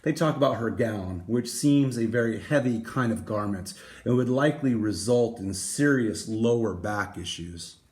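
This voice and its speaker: A man reading a picture book aloud, with dramatic emphasis and deliberate pauses. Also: mid-range voice, a little mellow. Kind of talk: expressive storybook read-aloud.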